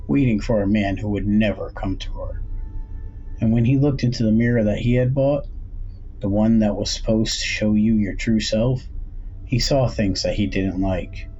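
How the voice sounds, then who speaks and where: A man's voice: on the low side, moderate pace, a little mellow. A man reading a scripted horror story as an audiobook narrator. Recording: dull, noisy home recording.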